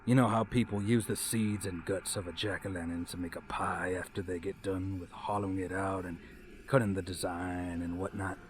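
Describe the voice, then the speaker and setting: A man voicing a folksy, remorseless serial killer who boasts casually and with dark amusement in a horror monologue. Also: low voice, level tone, moderate pace, noisy background, lightly acted. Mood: smugly menacing.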